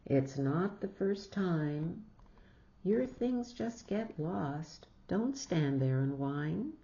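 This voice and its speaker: A dramatic, bossy child in a storybook, played by a woman reading aloud. The delivery is emphatic, with animated pitch, on a slightly dull recording. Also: drawn-out words, exaggerated voice. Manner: emphatic, mimics a shouting mother. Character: scolding friend, young child, dramatic and bossy. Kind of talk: storybook read-aloud character voice.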